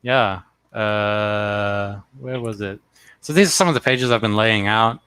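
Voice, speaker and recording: Bright-voiced man joking in casual chat. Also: on the low side, a little slow, clear, resonant tone, dry recording. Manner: joking, crude, laid-back. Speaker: comic artist co-host.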